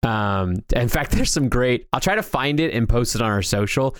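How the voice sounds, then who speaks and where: A man with an average-pitched voice talks in a loose, conversational way as a discussion show co-host. He often repeats a word while finding his point. The sound is dry, with very little room in it. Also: expressive, moderate pace, clear, resonant tone, dry recording. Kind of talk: casual pop-culture discussion.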